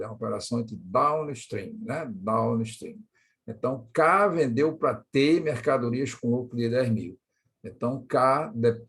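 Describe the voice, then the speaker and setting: A Brazilian man lecturing as an accounting teacher, working through examples step by step and often checking that listeners follow with short question tags. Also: mid-range voice. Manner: didactic, checks understanding with frequent question tags.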